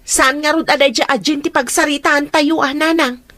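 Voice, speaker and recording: An actress playing a demanding, ailing daughter in an Ilocano radio drama, whining and complaining. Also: female voice, sits high, steady tone, moderate pace, a bit bright, dry recording. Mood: whiny, aggrieved.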